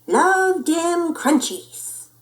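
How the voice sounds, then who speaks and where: A woman voicing an excitable, cocky little boy kitten in a children's storybook, theatrical and boastful. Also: very high voice, slow, pitched above the performer's own voice, full character voice. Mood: excited, carefree.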